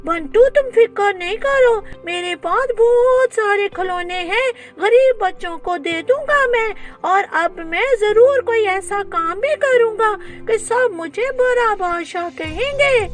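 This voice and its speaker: Woman voicing a very high-pitched, childlike little boy king. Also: animated, measured pace, few pauses, soft background music. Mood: earnest, reassuring. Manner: childlike, eager and reassuring. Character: kind, earnest ten-year-old boy king.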